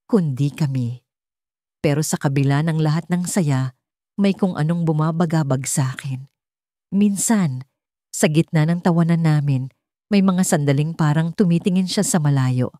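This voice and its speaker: A woman's voice: low-pitched, mellow, dry recording, studio quality. A woman narrating a scripted first-person story as a storyteller.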